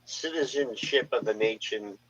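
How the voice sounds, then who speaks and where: An American man on a casual panel, explaining things in a measured, stumbling way. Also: medium pitch, a bit bright. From United States. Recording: dull lo-fi audio.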